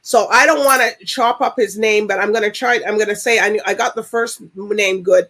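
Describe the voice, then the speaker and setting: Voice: medium pitch, steady tone, a little fast, sharp tone. A talk show host who introduces a guest and asks questions in a chatty, rambling way. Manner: chatty, rambling, laughs often.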